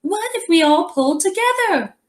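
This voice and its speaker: Excitable little storybook leprechauns, acted out by a woman. The voice is pushed high and swoops about, exclaiming with worried urgency. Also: normal speed, roomy sound. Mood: worried, urgent.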